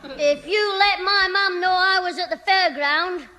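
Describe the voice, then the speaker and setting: A man's voice: very high-pitched, measured pace, bright, forceful tone, full character voice. A man playing a cheeky, blunt schoolboy in an old radio sitcom. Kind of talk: 1970 radio sitcom acting. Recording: old reverberant radio broadcast recording.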